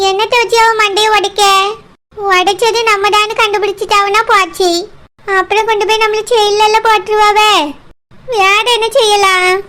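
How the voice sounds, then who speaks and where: A woman's voice: very high voice, a bit bright, a little hiss or hum. A woman voicing a scheming, threatening old villain woman in a cartoon, with a Kanyakumari Tamil accent. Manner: plotting aloud to herself, menacing. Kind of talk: cartoon voice acting.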